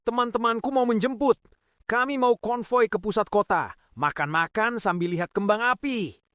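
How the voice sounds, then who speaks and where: A man's voice: high-pitched, moderate pace, fairly bright tone, no room sound. A man playing an arrogant, lazy, ungrateful young son in a cartoon drama, sneering and demanding. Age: young adult. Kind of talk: animated cartoon moral drama.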